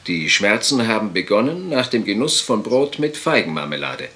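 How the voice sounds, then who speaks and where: A man's voice: fairly low pitch, big pitch swings, moderate pace, pushed and bright. A man playing a sober, formal country doctor in a radio crime drama, speaking precisely and clinically. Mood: grave, precise.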